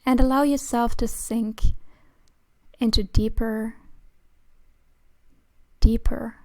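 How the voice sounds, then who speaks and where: A woman narrates a guided meditation in a soothing, breathy hush. Her pitch sits a little high and barely moves, and she speaks very slowly, leaving long pauses between short phrases. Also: steady tone, dry recording.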